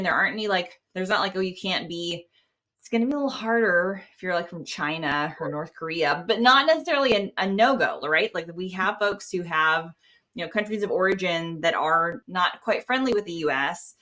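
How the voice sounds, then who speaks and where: Bright-voiced, low-pitched American woman. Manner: animated, colloquial, quotes imagined speakers. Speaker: interview guest, security clearance expert. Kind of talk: informal explanatory interview talk.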